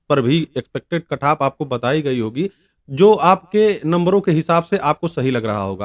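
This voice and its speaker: A man with a slightly high voice speaks directly to his viewers as an advice-giving host. His delivery is informal and explanatory, and he often circles back to restate a point in other words. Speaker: YouTube exam-advice host.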